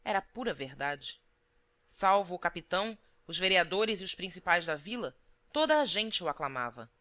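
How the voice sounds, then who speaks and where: A woman reads literary prose as an audiobook narrator, in a measured, formal manner. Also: fairly low pitch, sing-song, sharp tone.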